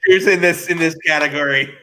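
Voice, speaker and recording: A man's voice: fairly high pitch, steady tone, fast talker, pushed and bright. A man giving joking opinions in casual sports banter as a panelist on a talk show.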